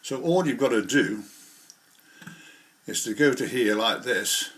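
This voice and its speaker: A man's voice: mid-range voice, animated, a little slow, fairly bright tone. A man presenting an informal hobby tutorial, explaining things step by step in a rambling, hands-on way. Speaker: model railway hobbyist presenter.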